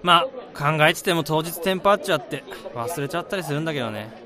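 A man's voice: on the high side, lively intonation, quick pace, lightly acted. A man acting in a radio drama as an easygoing married friend of a groom, around thirty, casual and bantering. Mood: friendly teasing. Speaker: lead actor and narrator. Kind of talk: radio drama acting with first-person narration. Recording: busy crowd noise behind the voice.

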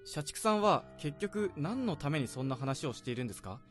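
A man's voice: on the high side, sing-song, fairly quick, soft background music. An actor playing an earnest young male office junior who keeps questioning, in a radio drama.

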